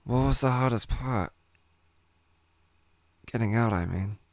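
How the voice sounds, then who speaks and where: A man's voice: mid-range voice, slow, dry recording, voice pushed up. A man voicing a nervous, apologetic driver in a dramatised story, asking things in a hesitant way. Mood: subdued, sympathetic. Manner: hesitant, questioning. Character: Jeremy, nervous, apologetic, inquisitive male driver.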